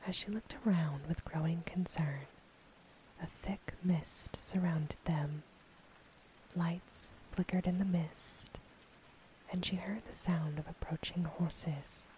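Slow whispering woman reading a story. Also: fairly low pitch, fairly even intonation, a little hiss or hum, rough recording. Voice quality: breathy, unvoiced whisper. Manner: soft, slow, gentle delivery. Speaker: bedtime story narrator.

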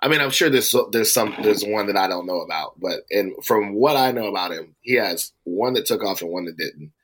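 A man's voice: on the low side, animated, fairly quick, a bit bright, rough recording. A man co-hosting a casual music podcast, talking about musicians he works with and laughing often. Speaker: podcast co-host. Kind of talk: casual chat.

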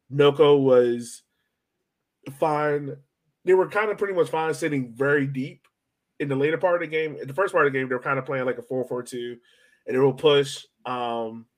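Guy hosting a sports pod, just chatting, kinda rambly, circles back on his words. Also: medium pitch. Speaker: sports podcast host. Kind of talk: casual sports chat.

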